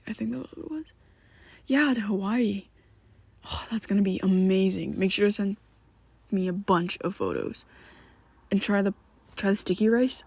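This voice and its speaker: A woman's voice: medium pitch, warm tone, husky, dry recording. A woman voicing a young male vampire best friend in an ASMR roleplay, who talks hesitantly and half-whispers with nervous stammers. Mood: chatty.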